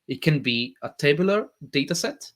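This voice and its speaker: A man with an Italian accent, a native Italian speaker, eagerly walking viewers through a live software demo as a tech presenter. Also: a little high, moderate pace. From Italy. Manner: eager, animated explaining.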